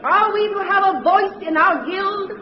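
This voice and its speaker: An echoey, dull vintage radio recording carries a strident woman agitator. She orates pompously at a very high pitch over crowd noise. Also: moderate pace. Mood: oratorical, defiant. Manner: oratorical, pompous, insistent. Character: strident, smug female Communist agitator orating at meetings. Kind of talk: 1950s radio drama acting, stump speech.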